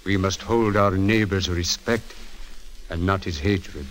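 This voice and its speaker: Low-voiced, dignified old rancher father. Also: fairly even intonation. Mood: grave, reproachful. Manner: grave, earnest, measured. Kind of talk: 1940s radio acting. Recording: 1940s radio broadcast recording.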